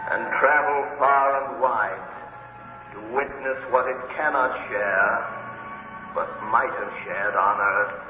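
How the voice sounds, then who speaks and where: An actor playing a solemn, tormented male ghost, intoning gravely in 1930s radio drama style with an echo effect. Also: medium pitch, slow, phone-quality sound, over music. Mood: mournful. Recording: old radio broadcast, long echo, thin band.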